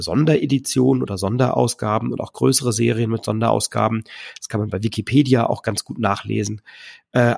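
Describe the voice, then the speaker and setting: A man hosting a board game podcast, talking in a chatty, enthusiastic way, hoarse and stuffy from a cold. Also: medium pitch. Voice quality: husky, gravelly. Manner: chatty, enthusiastic, some filler words. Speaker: podcast host. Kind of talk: casual solo podcast talk.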